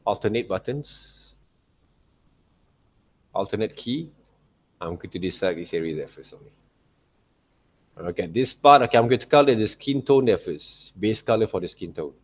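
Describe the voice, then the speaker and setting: A man with a Malaysian accent teaching an informal software tutorial, often checking in with short tag words like okay and right. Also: on the low side, lively intonation, moderate pace, pauses often. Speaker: art teacher.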